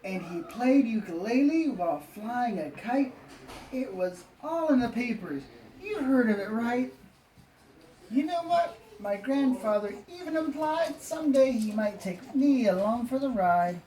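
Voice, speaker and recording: A cheeky storybook schoolboy voiced by a woman, unfazed and boastful, spins tall tales with earnest confidence. The voice is highish and unhurried, heard from some distance in an echoey room. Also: lively intonation, warm tone. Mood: eager, boastful. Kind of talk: storybook character reading. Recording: echoey, distant, faint background noise.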